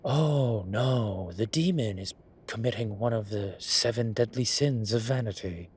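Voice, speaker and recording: A man's voice: on the low side, unhurried, husky. A man playing a vain, suave incubus demon who poses as a posh professor in an audio roleplay, with a wry, self-satisfied drawl. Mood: wry, teasing. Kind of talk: scripted audio roleplay acting. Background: ambient sound effects.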